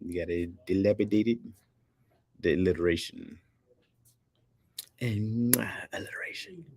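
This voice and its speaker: A man's voice: fairly low pitch, a little slow, a little mellow, slight background noise. A man hosting a livestream, chatting casually with his viewers in a rambling, laid-back way and laughing often. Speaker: livestream host reading chat. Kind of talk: casual chat.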